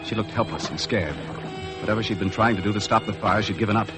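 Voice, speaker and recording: A man's voice: on the low side, fast, close to an everyday voice. Guy playing a tough sea captain in old fifties radio show, terse dramatic storytelling, big music. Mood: tense narrating. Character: rugged male boat skipper narrating his sea adventure, commanding. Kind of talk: 1950s radio adventure acting.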